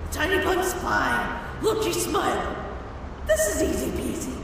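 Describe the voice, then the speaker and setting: A woman's voice: very high-pitched, huge pitch swings, unhurried, vocal fry, full character voice. A woman voicing a brash, impatient fish-woman warrior in a comic dub, blunt, slangy and bossy. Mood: brisk, exasperated. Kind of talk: dramatic comic dub acting. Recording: echoey reverberant hall with background noise.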